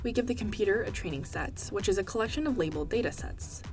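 Woman, first language Korean, animated scripted explainer read over soft music. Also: mid-range voice, fast talker.

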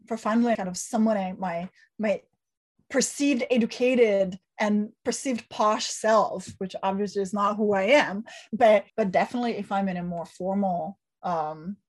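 A woman's voice: mid-range voice, a little mellow. Reflective woman professor from Italy. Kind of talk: reflective interview talk.

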